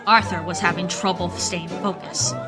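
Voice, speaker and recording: A woman's voice: lowish voice, fairly even intonation, normal speed, a crowd in the background. A woman narrator reading a scripted dramatic story for a radio play. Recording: loud music under the voice. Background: loud music.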